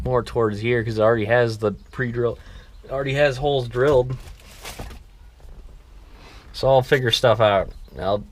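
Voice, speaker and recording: A man with a medium-pitched voice talks through a hands-on job as a vlogger. He is loose and informal, working out his next step aloud. There is noticeable ambient noise behind him. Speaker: vlogger restoring an old truck. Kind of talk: casual hands-on DIY vlog. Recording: clear background ambient noise.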